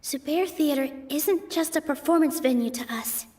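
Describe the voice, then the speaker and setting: A woman's voice: high-pitched, moderate pace, a little mellow, faint music behind, natural voice. A woman playing an earnest young dancer in a game, pleading and defending her theater. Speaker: performer in a dispute.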